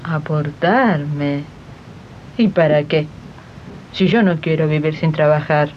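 A woman's voice: slow pace, slight background noise. A woman playing a proud, naive, ageing housemaid in a radio drama, with a homely manner and an Argentine accent. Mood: stubborn, dismissive. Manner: dismissive, homely, indignant. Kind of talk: 1980s amateur radio drama acting. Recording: dull, rumbling archive radio tape.

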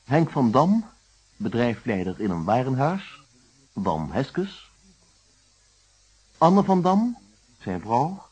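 A man with a Netherlands Dutch accent announcing radio drama credits in a formal, deliberate scripted style. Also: medium pitch, darkish tone, breathy edge. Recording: dull old radio broadcast recording.

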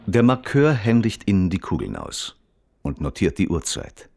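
Radio drama narrator, low-pitched, detached and observant, precise, dry wording, varied intonation. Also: male voice, wildly swooping pitch, normal speed, creaky, mellow, full character voice.

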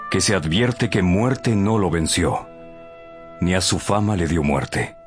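An actor playing an educated, spirited bachelor and loyal friend, declaiming theatrically in a radio drama. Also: male voice, deep voice.

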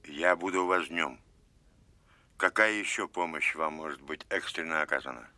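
A man's voice: rumbling low, normal speed, bright, forceful tone, no room sound, full character voice. A man acting a stern, commanding Soviet colonel general in a Russian audio drama, giving clipped, emphatic orders with authority. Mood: brisk, businesslike.

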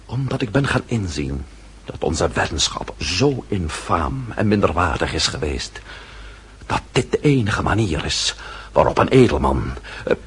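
An actor playing a proud, sardonic French marquis with a formal, mocking delivery in a period radio drama. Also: male voice, low-pitched, big pitch swings, a little slow, slightly rough, exaggerated voice. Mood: solemn, measured. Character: proud French marquis, sardonic, coolly self-possessed nobleman. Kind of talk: radio drama acting in period costume piece.

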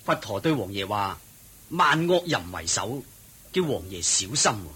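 An obliging male servant with a Hong Kong Cantonese accent and a husky, mid-range voice. He explains things helpfully, with a pitch that moves up and down freely. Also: sing-song, moderate pace, sharp tone, heavily acted. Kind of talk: radio drama acting.

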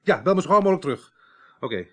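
An actor playing a brisk, businesslike police inspector in a 1980s radio crime drama, giving impatient orders in speech with a husky voice. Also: male voice, fairly high pitch, sing-song, background noise. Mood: urgent, commanding.

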